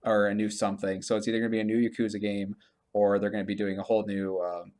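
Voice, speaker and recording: Rambling, lower-voiced man podcasting. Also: little pitch movement, fast, a little mellow. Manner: rambling, stammering, repeats himself. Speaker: video game podcast host. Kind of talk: casual chat about gaming news.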